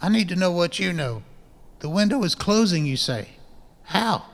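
A man plays a wary radio-drama doctor, fairly high in pitch and wrapped in cavernous reverb. His questions are pointed and sardonic, with irritation under them. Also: very animated, normal speed, creaky, exaggerated voice. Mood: probing, urgent. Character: wary, irritated male doctor probing a rival. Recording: long hall-like reverb.

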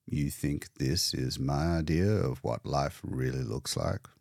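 Gloomy old male artist voiced by a man, storybook character, deep, scornful, dryly sardonic, close dry sound. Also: slow pace, gravelly, darkish tone, no echo, heavily acted. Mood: sour scorn.

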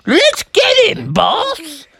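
A goofy, hungry ogre henchman, played by a man telling a story. The voice is high and swings widely in pitch. It taunts like a storybook brute. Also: huge pitch swings, drawn-out words, creaky, fairly bright tone, no echo, studio quality, heavily acted. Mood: eager.

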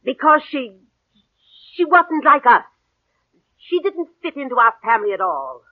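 An actress playing an imperious, spiteful older rich aunt with cutting, theatrical delivery in a mid-century radio play. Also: female voice, high voice, big pitch swings, measured pace, no echo, phone-quality sound. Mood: bitter. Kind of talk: 1940s-50s radio drama acting. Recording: band-limited old radio broadcast.

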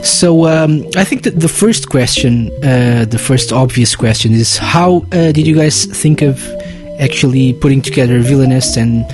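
A man hosting a podcast interview, chatty and quick to laugh. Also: medium pitch, over music. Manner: giggly, chatty, lots of fillers. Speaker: podcast host.

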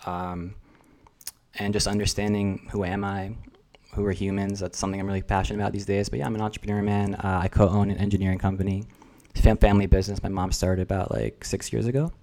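Reflective young man, low voice. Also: level tone, fast talker, warm tone. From New Jersey. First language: Russian. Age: 20s. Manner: reflective, rambling, filler-heavy. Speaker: podcast guest. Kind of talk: casual chat.

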